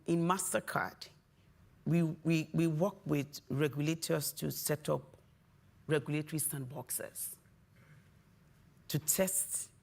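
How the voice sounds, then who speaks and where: A woman from Nigeria whose first language is Yoruba, speaking as a panelist and answering slowly, with pauses between phrases. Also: low voice, gravelly, a little mellow. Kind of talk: panel discussion.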